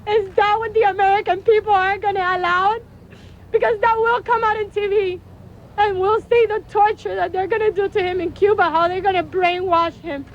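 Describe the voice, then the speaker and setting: A woman's voice: extremely high pitch, steady tone, normal speed. A distraught, tearful woman making an emotional plea in a news clip. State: crying, distraught. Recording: dull outdoor news clip, faint noise.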